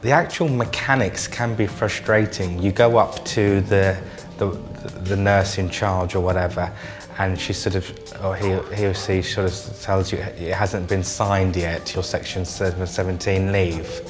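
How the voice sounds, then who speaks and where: A man's voice: sits low, steady tone, a little slow, hardly any pauses, music in the background. A man in an unscripted interview, speaking with repetitive, hesitant phrasing. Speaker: interviewee, former patient.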